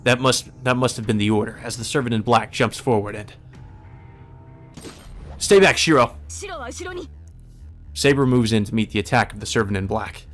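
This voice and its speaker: A man's voice: fairly low pitch, steady tone, fast, few pauses, soft background music. Man narrating a visual novel. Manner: first-person storytelling delivery. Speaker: let's play narrator.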